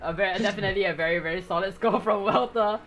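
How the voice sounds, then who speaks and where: A man giving live esports commentary on a rhythm game tournament, excitable and going hoarse from shouting at the big plays. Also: high-pitched, fast talker.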